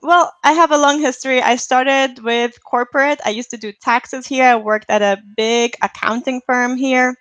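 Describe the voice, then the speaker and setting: A woman in her thirties from Lithuania, a native Lithuanian speaker, chatting as a guest on a video call, upbeat and quick to laugh. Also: high-pitched, fairly even intonation, fairly bright tone, dry recording. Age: 30s. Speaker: guest, fitness coach. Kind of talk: casual chat on a video call.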